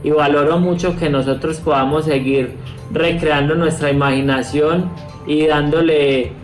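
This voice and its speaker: A man's voice: on the high side, fairly even intonation, a little slow. Earnest man in an echoey room. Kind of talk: reflective viewer testimonial. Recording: echoey room, faint music.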